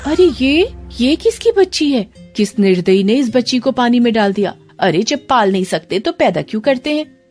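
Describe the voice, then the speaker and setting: A woman's voice: on the high side, big pitch swings, moderate pace, darkish tone, faint music behind, voice pushed up. A woman voicing a kind-hearted, indignant, motherly fisherwoman in a cartoon story, exclamatory and tender.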